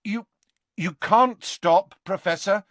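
Desperate young boy wizard, played by a male narrator, raised pitch, strained and earnest, urgent delivery. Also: slow, a bit bright, no room sound. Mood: urgent coaxing.

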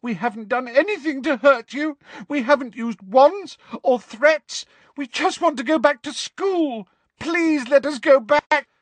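A man's voice: very high voice, a little slow, no room sound, voice pushed up. A man voicing a frightened, earnest teenage girl in a storybook, breathless and imploring. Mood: pleading.

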